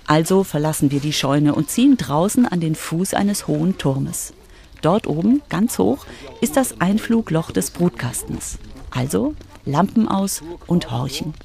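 A woman's voice: sits low, mellow. A woman reporter reading scripted narration for a children's radio feature.

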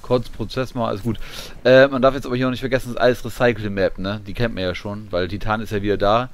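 A man's voice: fairly low pitch, level tone, fast talker. A man giving casual commentary as he plays a video game. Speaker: gamer, video host. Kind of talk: casual let's play commentary. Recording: clear game noise behind voice. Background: shooter game sound effects and ambience.

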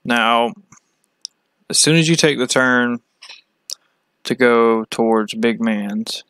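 A man talks through a casual walkthrough at a very slow pace, stopping often between short phrases. His voice is smooth and clear, recorded close and very dry. The delivery is plain and matter-of-fact, like a hobby channel host explaining something on screen. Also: mid-range voice, a bit bright, no room sound.

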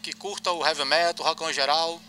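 40s guy chatting in a little record store, high bright voice, laid back, handheld mic. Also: quick pace, slight background noise. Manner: relaxed, chatty, frequent tag questions. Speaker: interviewed event promoter. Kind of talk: casual interview. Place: small indoor record shop. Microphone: handheld mic held by interviewer.

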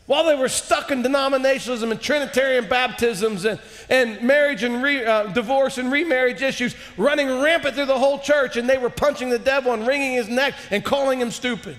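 A man in his forties delivers an impassioned sermon in a large church sanctuary, his voice strikingly high. He swings from shouted peaks to low, hushed lines. The headset mic catches a long reverb trailing through the hall. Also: brisk. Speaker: preacher.

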